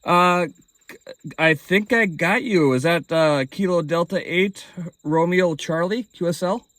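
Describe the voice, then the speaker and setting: A man's voice: sits high, a little slow, fairly bright tone. A man speaking casually as an amateur radio operator hosting a hobby video. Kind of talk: casual hobby vlog talk and radio calling.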